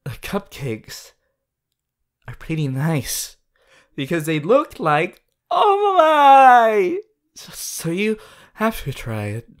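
A woman playing an eager, affectionate teenage boy hero in a fan ASMR roleplay, gushing and halting as she playfully addresses the listener. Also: fairly low pitch, huge pitch swings, heavily acted. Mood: eager, coaxing. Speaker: voice actor in a fan character audio.

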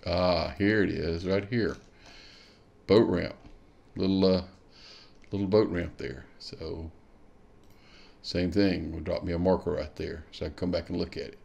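A man's voice: low-pitched, steady tone, unhurried, gravelly, pauses often, darkish tone, muffled recording. A man hosts a kayak fishing show, walking viewers through a map on screen and thinking aloud as he clicks. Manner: thinking aloud, musing with hmms while clicking. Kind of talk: screen-share tutorial walkthrough.

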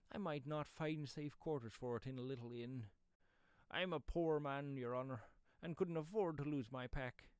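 A man's voice: mid-range voice, darkish tone, smooth, dry recording, pitched above the performer's own voice, close to an everyday voice. A man voicing a shabby, furtive little pedlar in a storybook reading, humble and hesitant, with rustic pleading speech. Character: shabby, furtive, undersized pedlar, submissive and wheedling. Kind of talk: audiobook character voice.

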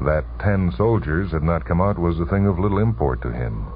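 A man reading a short story for radio in a measured, deliberate, suspenseful way. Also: low-pitched, animated, slight background noise. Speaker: narrator. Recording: tinny, band-limited vintage radio broadcast. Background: music under the voice.

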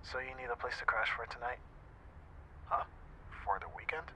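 A man's voice: mid-range voice, moderate pace, pushed and bright, slight background noise, rough recording. A young man acting as an easygoing, teasing best friend in a casual, intimate ASMR roleplay. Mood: easygoing, caring.

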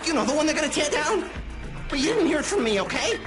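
An actor playing a terrified male lowlife informant in a video game, stammering, whining and pleading. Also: big pitch swings, fast. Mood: nervous whining. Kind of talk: video game cutscene acting. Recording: loud music behind the voice.

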